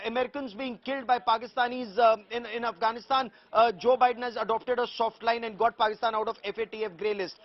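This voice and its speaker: An Indian man delivers live news analysis in a strikingly high voice. He sounds like a correspondent, pressing his points with emphatic, assertive delivery. The audio is a bit dull.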